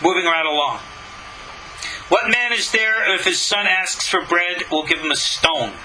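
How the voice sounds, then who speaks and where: A man's voice: high-pitched, measured pace, sharp tone, slight background noise, rough recording. A man giving a religious lecture as a rabbi, reading scripture and commenting in an emphatic, argumentative way with rhetorical questions. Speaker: rabbi teaching a class.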